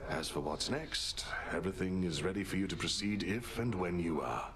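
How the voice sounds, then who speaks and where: A man's voice: sits low, animated, a bit bright. A man playing a shrewd, loyal veteran strategist in a video game, courtly and wry. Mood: wry, respectful. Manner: courtly, easygoing, deliberate. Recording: noisy ambient background.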